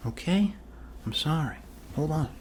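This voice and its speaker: Slow-talking man doing jokey doctor ASMR roleplay. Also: a little high, expressive, darkish tone, slight background noise. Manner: jokey, rambling, reassuring. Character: goofy, rambling, reassuring doctor treating a zombie bite. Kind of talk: ASMR roleplay, improvised casual patter.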